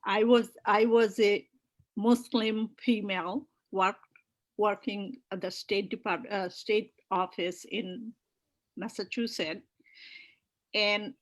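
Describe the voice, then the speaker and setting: A middle-aged woman from Bangladesh who tells her story slowly, often pausing on fillers. She sounds earnest and keeps circling back to restart her sentences. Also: mid-range voice. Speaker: political activist guest. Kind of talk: personal story in a virtual panel.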